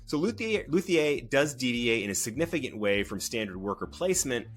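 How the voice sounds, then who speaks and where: A man's voice: medium pitch, big pitch swings, fairly quick, faint music behind. A man co-hosting a board game podcast, reading a prepared explanation in an articulate, descriptive presenter's manner. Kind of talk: scripted explanatory narration.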